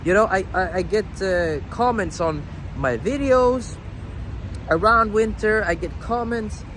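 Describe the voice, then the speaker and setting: A man's voice: high-pitched, a little slow, fairly bright tone. A man from Sweden talking casually and emphatically as a vlog host, often repeating phrases, outdoors on a street. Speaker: dating coach and vlog host. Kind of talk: casual vlog talk addressed to viewers. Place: outdoors walking on city streets. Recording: noisy outdoor city street with traffic.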